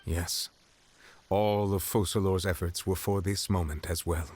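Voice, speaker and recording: An actor playing a dignified male chief justice who speaks in a formal, measured, deliberate way, heard as game dialogue over faint music. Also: low voice, fairly even intonation, very noisy.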